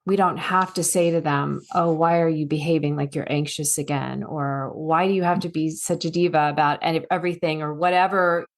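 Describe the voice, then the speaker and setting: A soft-spoken woman speaking as a guest coach in a casual podcast conversation, telling stories with little acted asides. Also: sits low, steady tone, brisk, few pauses.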